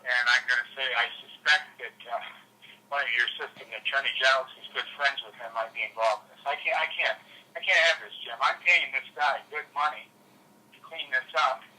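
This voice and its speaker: Agitated American man, sharp phone-line voice. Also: mid-range voice, fairly quick. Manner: agitated, insistent, profane. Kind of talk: heated private phone call, venting. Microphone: telephone. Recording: tinny telephone line.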